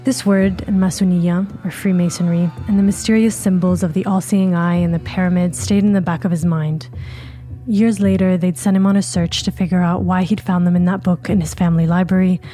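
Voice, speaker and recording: A woman narrates a documentary podcast in a low, mellow voice. Her delivery is measured and scripted. Faint music plays behind her. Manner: measured, even storytelling. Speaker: podcast host.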